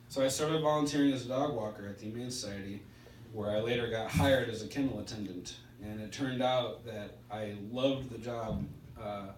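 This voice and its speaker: A middle-aged man with a stutter gives a personal testimony at a recovery meeting, speaking in halting, spaced-out phrases with pauses. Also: lowish voice. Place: indoor meeting room. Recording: roomy, distant mic with background hiss.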